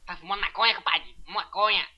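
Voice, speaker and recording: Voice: mid-range voice, fairly even intonation, brisk, husky, dull audio. An amateur dubber with a Brazilian accent doing a comic redub of a sitcom in brash, slangy, mocking street talk.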